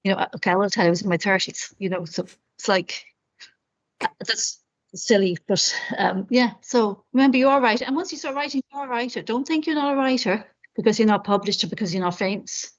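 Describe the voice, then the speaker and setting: A woman's voice: mid-range voice, brisk, lo-fi sound. A middle-aged woman, an author, giving encouraging writing advice on a video call, with many you-knows. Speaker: author guest. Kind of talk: friendly advice in an interview.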